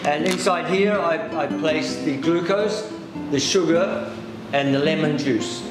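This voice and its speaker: A middle-aged man from England and New Zealand gives a step-by-step cooking demonstration as a pastry chef, explaining in a hesitant, unhurried way. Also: a little high. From England-born, raised in New Zealand. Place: indoor demonstration kitchen. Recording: very echoey room with loud music behind. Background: loud background music.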